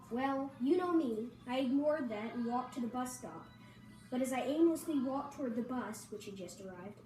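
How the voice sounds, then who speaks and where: A woman's voice: high voice, warm tone. A woman reading a scripted first-person story aloud as narrator. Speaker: reader at a table read. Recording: roomy echo, distant mic, faint noise.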